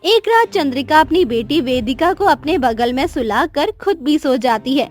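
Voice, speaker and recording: A woman narrating a cartoon story in a steady, clear storytelling manner. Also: high voice, moderate pace, soft background music.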